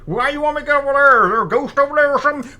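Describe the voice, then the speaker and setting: A man voicing excitable kids calling each other on walkie-talkies in an urgent, playful way. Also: extremely high pitch, very fast, voice pushed up, exaggerated voice. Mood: urgent, excited.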